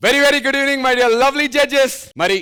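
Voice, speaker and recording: A man hosting a television show on stage, announcing with energy and drawn-out emphasis. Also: very high voice, steady tone. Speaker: TV show host. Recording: TV stage with music behind.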